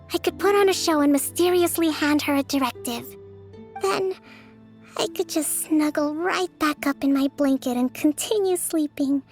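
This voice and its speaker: A woman voicing a gentle, introverted young priestess and strategist in a video game, soft-spoken, formal and thoughtful. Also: high voice. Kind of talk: video game character voice acting.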